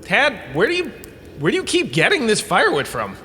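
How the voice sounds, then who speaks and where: A man's voice: high voice, huge pitch swings, brisk, bright, forceful tone, full character voice. Guy acting as a pompous hammy professor doing a comic lecture, big echo and some background noise. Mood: exasperated, flippant. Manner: dramatic, self-amused, breezily dismissive. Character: pompous, washed-up holodrama star turned cowardly, flippant professor. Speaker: lecturer in a fictional audio drama. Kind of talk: comic theatrical lecture narration. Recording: long cave reverb, background ambience noise.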